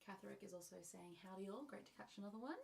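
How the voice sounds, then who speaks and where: A woman's voice: medium pitch, racing, gravelly, slight background noise. A woman co-hosting a live stream who reads the chat messages aloud in a light, playful way. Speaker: co-host reading the live chat.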